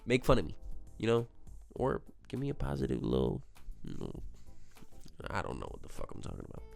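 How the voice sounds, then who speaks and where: A man's voice: medium pitch, huge pitch swings, fairly quick, gravelly, darkish tone, soft background music. A man with a stuffy nose from a cold, chatting casually on his gaming channel in a rambling, self-mocking way with jokey asides. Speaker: gaming video host. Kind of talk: casual solo speculation to camera. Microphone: desk microphone.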